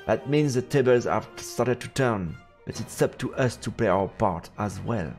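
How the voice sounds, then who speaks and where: A male streamer reads a fantasy visual novel in dramatic storybook narration. His voice is fairly low with a lot of creak. Faint game music plays behind him. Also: expressive, normal speed, darkish tone.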